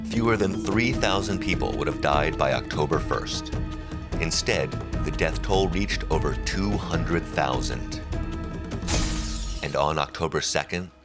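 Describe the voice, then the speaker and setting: Male documentary narrator, deep register, scripted read, prominent music bed underneath.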